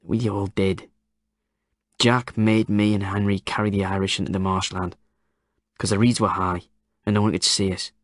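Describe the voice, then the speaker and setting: A man's voice: on the low side, little pitch movement, moderate pace, pauses often, no echo, studio quality, close to an everyday voice. A man voicing a frail, tearful old man. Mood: quiet, haunted. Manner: sobbing confession, pleading.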